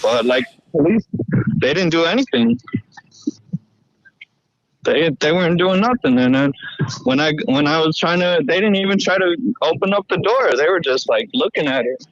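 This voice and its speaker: A dull, lo-fi phone line carries a man with a slightly high voice. He recounts things casually as an eyewitness, haltingly, repeating phrases and using slang, with no room echo. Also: animated. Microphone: phone call. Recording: muffled lo-fi phone call.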